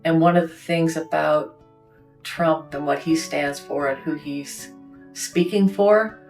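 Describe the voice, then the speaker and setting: A woman's voice: low voice, steady tone, takes it slowly, a little mellow. A woman from Canada in a casual conversation, telling a personal story in a candid way with conversational asides. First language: English. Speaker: host. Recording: faint guitar music behind.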